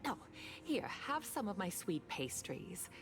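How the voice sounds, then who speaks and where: An actress voicing a kindly older woman, an old friend of the family, in a game, speaking gently. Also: mid-range voice, sing-song, unhurried, vocal fry. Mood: tender. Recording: game audio with loud music.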